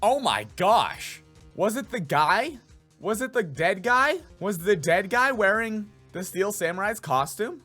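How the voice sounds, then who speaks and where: Animated man doing let's play commentary. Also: high voice, a little slow, faint music behind. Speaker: video game player and voice actor.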